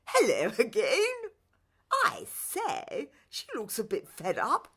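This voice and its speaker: A woman's voice: a little high, huge pitch swings, takes it slowly, breathy edge, exaggerated voice. A woman voicing a smug, snobbish young prince in a children's radio play, pompous and aloof.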